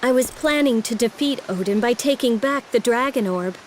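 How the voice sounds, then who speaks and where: An actress playing an earnest, gentle but resolute young fantasy heroine in a video game, speaking hesitantly. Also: female voice, a little high, moderate pace, slight background noise, lightly acted. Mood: pleading, resolute. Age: young adult. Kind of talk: video game cutscene voice acting.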